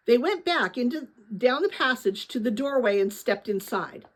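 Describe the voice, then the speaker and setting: A woman reading a children's novel aloud as host, with expressive, careful phrasing. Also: on the high side, moderate pace. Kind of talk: dramatic storybook read-aloud.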